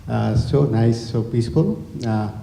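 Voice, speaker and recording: A middle-aged man from Nepal giving a hesitant speech to a gathering, with many pauses and fillers. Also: medium pitch, vocal fry, dark tone, slight background noise. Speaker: honorary consul general and college owner. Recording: echoey hall.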